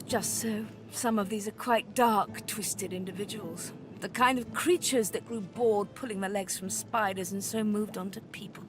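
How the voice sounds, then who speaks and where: An actress playing a world-weary, sharp-tongued sorceress mentor in a fantasy video game, with a sardonic, impatient and dramatic manner. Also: female voice, mid-range voice, fairly even intonation, moderate pace, few pauses, natural voice. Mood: grim, sardonic. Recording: music behind the voice. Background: music.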